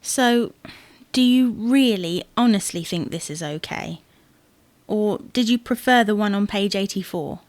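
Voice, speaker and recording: A fussy wife played by a woman in a domestic audio comedy. She bickers in an insistent, theatrically indignant way at a medium pitch. The sound is dry, with no room on it. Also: unhurried, no room sound, close to an everyday voice. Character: fussy, exasperated wife fretting over wedding clothes. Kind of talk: domestic audio sitcom acting.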